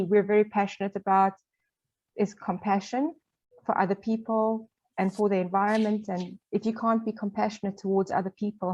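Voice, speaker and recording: A woman's voice: mid-range voice, mellow. Encouraging woman on dull, lo-fi audio. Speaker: youth program coordinator. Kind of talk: interview answers.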